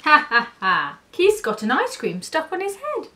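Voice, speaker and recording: An excitable, teasing storybook cat, as a woman reader puts it on, pitched very high. The delivery is exclamatory and squealing, with big swoops up and down. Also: measured pace, exaggerated voice.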